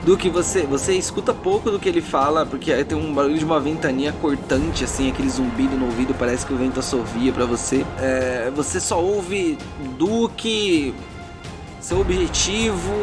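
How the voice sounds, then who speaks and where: A Brazilian man runs a fantasy tabletop game as its narrator. His voice sits a bit high, and he tells the story dramatically, dropping into informal asides. Music plays behind him. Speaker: game master.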